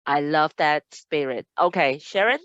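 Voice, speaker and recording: A woman hosts a casual webinar over a video call, chatty and upbeat as she reads audience comments aloud. Her voice sits fairly low and sounds dry and close. The audio is dull and lo-fi, like a typical online meeting. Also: unhurried, fairly bright tone, clear, resonant tone, no echo. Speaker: admissions host.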